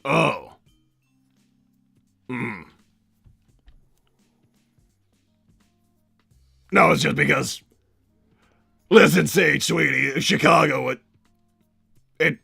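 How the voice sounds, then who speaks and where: A man playing a pompous, irritable cartoon villain scientist and doting father, raspy and theatrical, bantering in character on a livestream. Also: on the high side, takes it slowly, long pauses, pushed and bright, faint music behind, exaggerated voice. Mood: flustered, theatrical. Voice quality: raspy, gravelly. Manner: theatrical, blustering, stammers when flustered. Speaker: livestream host reading donations.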